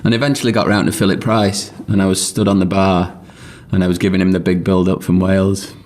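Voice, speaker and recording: Deep-voiced man telling a story. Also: level tone, fast, a little mellow. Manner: chatty, laughing storyteller. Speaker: interviewee in a played clip. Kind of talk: recorded anecdote.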